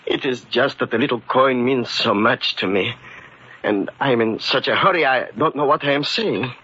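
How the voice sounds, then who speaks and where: An actor playing an anxious, hard-working immigrant husband in old-time radio drama style, emphatic and pleading. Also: male voice, medium pitch, normal speed, sharp tone, natural voice. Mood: apologetic, earnest. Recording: muffled, noisy old radio recording.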